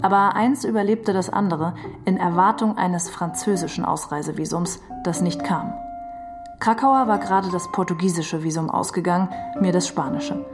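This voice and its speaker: A woman's voice: on the low side, moderate pace, over music. A woman giving a scripted literary reading of memoir and novel passages. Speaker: reader of literary quotations.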